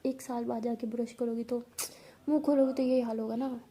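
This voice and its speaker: A woman's voice: a little high, little pitch movement, fairly quick, mellow, husky. A woman voicing a talkative, scolding young woman with a secret crush in an oral story, rambling and teasing. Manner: rambling, teasing, stammers when flustered.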